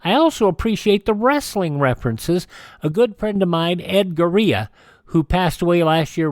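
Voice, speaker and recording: A man's voice: high-pitched, darkish tone, no room sound. A man hosting a radio show in a casual, rambling, folksy way.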